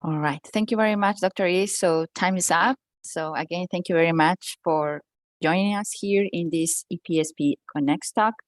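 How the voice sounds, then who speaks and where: A woman's voice: on the low side, normal speed, no echo, clean studio sound. A woman moderator closing an online session with polite, formal thanks.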